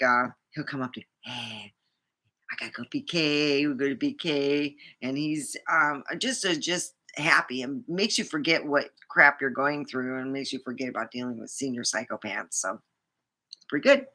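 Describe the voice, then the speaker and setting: A woman's voice: a bass voice, pushed and bright. A woman vlogger chatting casually and rambling, with many fillers and restarts.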